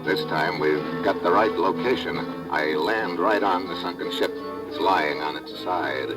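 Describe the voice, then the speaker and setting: A man's voice: sits low, measured pace, low-quality recording. A man acting a tough, wary undercover diver in a vintage radio drama, speaking and narrating in terse, colloquial style. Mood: grim narration. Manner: terse, hard-boiled, colloquial. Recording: vintage radio recording with background music.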